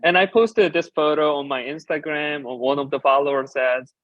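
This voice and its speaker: A man whose first language is Korean gives a conference talk as a designer and ceramicist, explaining his slides with frequent filler pauses. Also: fairly high pitch, a bit bright, dry recording, highs cut off. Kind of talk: conference presentation about slides.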